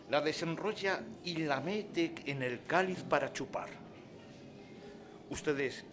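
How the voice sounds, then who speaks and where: A man playing an enthusiastic schoolmaster explaining nature to his pupils in a radio drama. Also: on the high side. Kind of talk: radio drama acting in Spanish. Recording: music under the voice.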